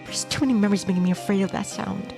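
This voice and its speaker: A woman reads a visual novel aloud as a streamer. Her first-person narration is dramatic and tense, delivered in short expressive phrases at a middle pitch, with game music clearly playing behind. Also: racing. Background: game soundtrack music.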